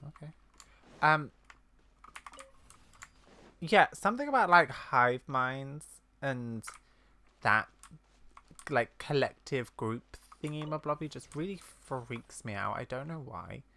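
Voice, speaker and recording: A woman's voice: a bass voice, lively intonation, slow, vocal fry, pauses often, pushed and bright. A woman giving casual, rambling gameplay reactions in an informal streamer style. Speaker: game streamer.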